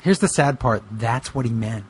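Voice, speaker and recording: A man's voice: mid-range voice, animated, normal speed, a little hiss or hum. A man co-hosting a podcast in casual comic banter, joking and wandering off on asides. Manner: digressive, joking. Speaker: podcast co-host and reader.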